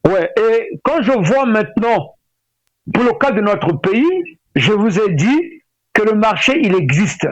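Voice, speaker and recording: A middle-aged man with a Congolese French accent, speaking as an interview guest in a halting way with repeated words. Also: sits high, sing-song, dry recording. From Brazzaville, Republic of the Congo. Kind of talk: spoken interview, opinionated discussion. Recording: dull lo-fi radio sound.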